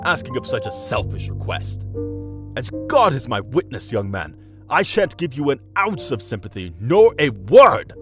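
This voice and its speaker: Man playing a haughty, swooping-voiced scornful Archbishop. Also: medium pitch. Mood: furious, scornful. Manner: pompous, scornful, formal archaic diction. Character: haughty, contemptuous Archbishop, an angry employer berating his servant. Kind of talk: period audio drama acting. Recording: loud music behind the voice.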